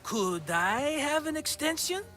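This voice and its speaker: An actor playing a slippery, nostalgic older schemer in a video game, wheedling and dramatic. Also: male voice, extremely high pitch, sing-song, measured pace, bright, forceful tone, soft background music, full character voice. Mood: placating.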